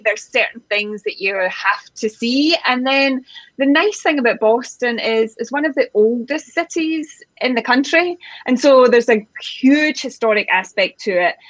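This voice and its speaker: A Scottish woman working as a tour guide, chatting over a live video call in a relaxed way that often trails off mid-sentence. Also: a little high, dry recording, muffled recording. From Scotland.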